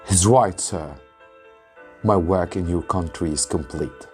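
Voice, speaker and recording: A man playing a loyal English gentleman hero in a storybook reading, respectful and sincere. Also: deep voice, slow pace, soft background music.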